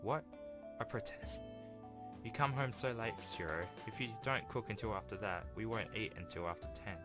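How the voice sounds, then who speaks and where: A man's voice: lowish voice, moderate pace, music in the background, natural voice. A man voicing a complaining, lazy, childish young woman in dialogue from a visual novel. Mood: protesting.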